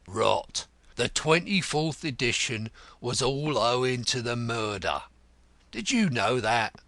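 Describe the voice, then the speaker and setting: A man's voice: medium pitch, big pitch swings, slow, bright, forceful tone, no echo, full character voice. A man voicing a blunt, sardonic retired male detective in an audiobook, with a growling, mocking manner. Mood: amused, mocking. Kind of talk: audiobook character voice.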